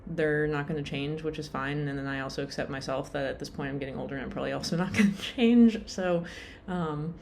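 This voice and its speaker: Tired young woman vlogger, low pitch, chatty and meandering, self-deprecating. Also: a little fast, few pauses, a little mellow, a little hiss or hum. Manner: rambling, lots of "like" and "um", self-deprecating. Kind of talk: casual rambling vlog.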